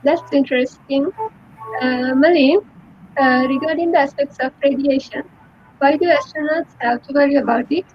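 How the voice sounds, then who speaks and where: A woman's voice: high voice, normal speed, darkish tone, dull audio, faint music behind. A woman from Sri Lanka hosting a live talk with a polite, formal, scripted manner. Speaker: host.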